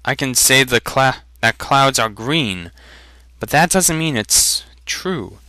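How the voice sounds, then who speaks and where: A man's voice: medium pitch, expressive, slow, bright, forceful tone, no echo, pitched above the performer's own voice. A man voicing a bold village boy who insistently challenges his elders in a dramatic fantasy reading. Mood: defiant.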